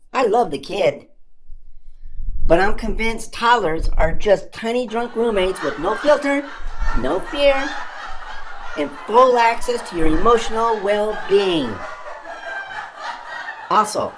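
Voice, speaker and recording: A woman voicing a cheeky young male puppet comedian telling exasperated, self-amused stand-up stories with deadpan punchlines and dramatic exaggeration. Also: mid-range voice, a little hiss or hum. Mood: incredulous, dramatic, then wry, fond.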